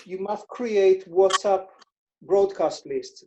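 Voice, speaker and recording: A man's voice: sits high, takes it slowly, dark tone, muffled recording, slight background noise. A man from Israel with an Israeli accent, a financial advisor explaining his methods in a casual interview and repeating words for emphasis.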